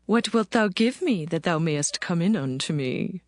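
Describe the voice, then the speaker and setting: A man's voice: lively intonation, no echo, clean studio sound. A man doing a bold young widow. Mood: bargaining. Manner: slow, deliberate, high put-on voice.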